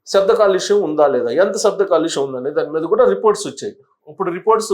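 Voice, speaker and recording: Guy with a pretty high voice doing news explainer, kinda teachy, reads bits out and stumbles now and then. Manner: didactic, addresses viewers, stumbles and repeats words while reading. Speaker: news commentator and presenter. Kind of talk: explanatory news commentary, partly read out.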